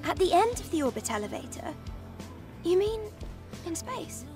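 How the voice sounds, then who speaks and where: A woman's voice: very high-pitched, big pitch swings, normal speed, far from the mic, over music. A woman playing an earnest, girlish young engineer companion in a video game. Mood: shocked, insistent. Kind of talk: cinematic video game dialogue.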